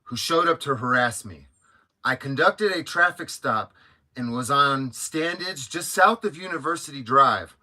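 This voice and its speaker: A man's voice: medium pitch, expressive, unhurried, bright, forceful tone. A man, around his thirties, giving sarcastic commentary as he reads a document aloud for a video. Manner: mocking, sarcastic. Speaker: video host.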